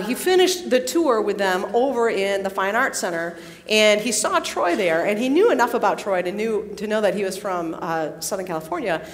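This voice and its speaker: A woman addressing a student worship gathering in a large hall, telling a story with pauses and fillers. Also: medium pitch. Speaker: campus pastor. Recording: long reverb in a large hall.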